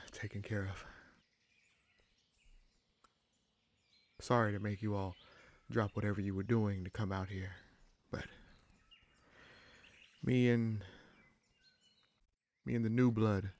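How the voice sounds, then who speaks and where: A man playing an easygoing, protective bandit leader in a fantasy roleplay audio drama, speaking in a halting, conversational way with a gravelly voice. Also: fairly low pitch, fairly even intonation, darkish tone, lightly acted. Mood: commanding, casual. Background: birdsong, forest ambience.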